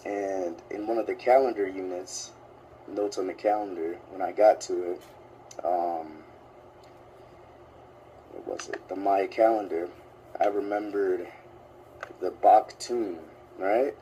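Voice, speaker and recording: A man's voice: deep voice, fairly even intonation, takes it slowly, long pauses, warm tone, a little hiss or hum, low-quality recording. A man with a sick-sounding voice talks casually and hesitantly, filling gaps with "um" and "like". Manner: hesitant, lots of "um" and "like". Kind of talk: casual video commentary.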